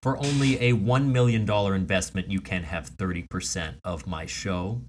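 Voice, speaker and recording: Мужчина, ведущий комедийного подкаста. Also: male voice, low-pitched, steady tone, moderate pace, a little hiss or hum. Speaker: comedy podcast host. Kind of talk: casual comedic banter.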